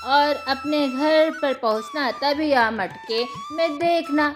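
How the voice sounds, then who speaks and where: A woman voicing a kind, patient old village woman in a cartoon moral story, coaxing gently. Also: sits high, slow pace. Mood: kindly. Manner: gentle, coaxing, addressing the listener as child. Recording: music behind the voice.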